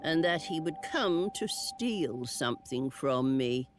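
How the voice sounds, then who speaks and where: A woman's voice: deep voice, lively intonation. A woman voicing the lonely, wry ghost of a witch burned at the stake, in a game cutscene. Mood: wistful. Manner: slow, drawling, sly asides. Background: game music.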